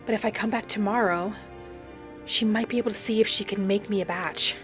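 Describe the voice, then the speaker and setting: A woman voicing a gentle, adventurous female wood elf traveller in a video game roleplay, musing softly aloud in character over music. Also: medium pitch, steady tone, brisk, natural voice. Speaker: video game streamer role-playing.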